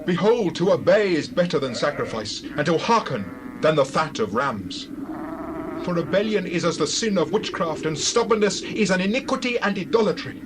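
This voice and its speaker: A man's voice: on the high side, sing-song, moderate pace, background noise. A man playing a stern, righteous prophet in a dramatized scripture reading, solemn and declamatory. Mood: stern, rebuking.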